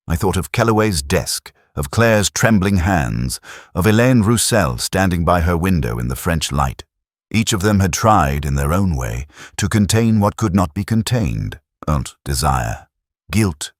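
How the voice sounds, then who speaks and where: A man acts out a weary, melancholy detective telling his own story in a radio mystery. The voice is extremely low, with lively rises and falls in pitch. The delivery is measured and brooding, and the sound is close and dry. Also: no room sound. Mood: somber, reflective. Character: weary, melancholy, reflective male English police detective. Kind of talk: scripted first-person detective story narration.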